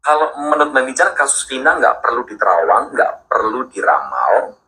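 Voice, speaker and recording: A man speaking as a psychic, calmly giving advice. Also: mid-range voice, moderate pace, low-quality recording.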